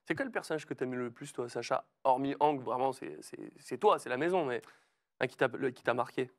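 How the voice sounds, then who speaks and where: A male host with a mid-range voice fires off quick questions in slangy, teasing chat. He speaks on an indoor studio set. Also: medium pitch. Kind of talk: casual chat.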